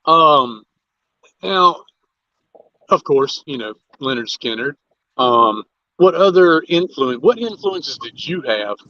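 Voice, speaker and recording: A man hosting a casual interview, speaking informally in halting, loosely phrased sentences. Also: on the high side, animated, sharp tone, dull audio, a little hiss or hum. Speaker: interview show host, musician.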